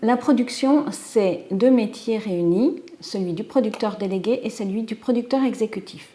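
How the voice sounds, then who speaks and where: A woman in an interview, calmly explaining how animation production is organized. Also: a little high. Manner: measured, clear explaining.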